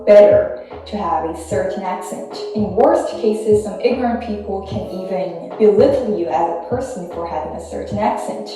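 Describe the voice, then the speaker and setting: A woman's voice: mid-range voice, normal speed, heavy reverb, music in the background, rough recording. Korean woman with a Filipino English accent. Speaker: YouTuber. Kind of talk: scripted narration.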